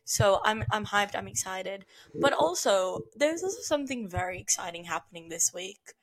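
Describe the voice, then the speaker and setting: A podcast host with a hoarse, gravelly voice that has been worn out by screaming. She chats in a bubbly, excitable way and giggles often. She talks fast and breathlessly, with lots of "like" and "genuinely.". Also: mid-range voice, rough recording. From New Zealand or Australia. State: hoarse, has lost her voice. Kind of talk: casual chat.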